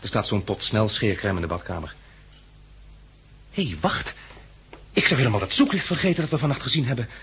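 An actor in a 1960s radio drama playing a thoughtful, cautious man who survived a catastrophe, speaking in a measured, deliberate way. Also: mid-range voice, big pitch swings, fairly bright tone. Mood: calm, practical. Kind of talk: 1960s radio drama acting, reflective narration. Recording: 1960s radio play with background noise.

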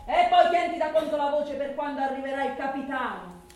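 A high voice of a worldly, cynical woman, played by an actress, mocking and declamatory. The acting is theatrical radio drama. The sound is roomy and some way from the microphone. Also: fairly quick, darkish tone, distant mic, lightly acted. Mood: mocking, challenging.

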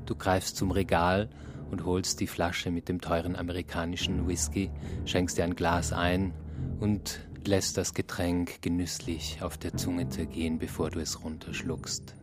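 A man with a very low voice narrates a radio drama over clearly audible music. He takes his time, speaking very slowly and placing each phrase deliberately. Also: level tone. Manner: measured, deliberate phrasing with pauses. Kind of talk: second-person radio play narration. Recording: music under the voice. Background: instrumental music.

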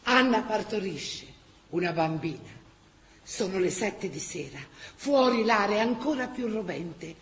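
An actress with a Tuscan accent playing an earthy, expressive storyteller in a theatrical wartime radio drama. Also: female voice, medium pitch, a little slow, close to an everyday voice. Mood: tender, wry. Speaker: actress-narrator. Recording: roomy stage sound, faint background noise.

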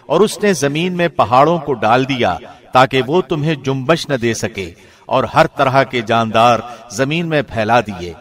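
A man reading a scripture translation from a script in a formal, measured, clearly enunciated way. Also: medium pitch, a bit bright. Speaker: translation reader, radio host.

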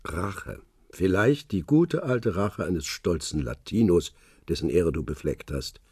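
A cryptic old spy. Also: male voice, sits low, expressive, darkish tone, breathy edge, no room sound, clean studio sound, heavily acted. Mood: calm. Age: older. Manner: cryptic, measured, speaks in hints. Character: old retired secret-service man, cryptic. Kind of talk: radio play acting.

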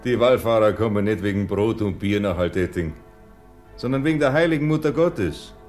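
An actor playing a strict friar in charge of a monastery, admonishing emphatically in a German radio play. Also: male voice, lowish voice, a little fast, darkish tone, faint music behind, natural voice. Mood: stern, dismissive. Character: strict, stern male monastery guardian friar.

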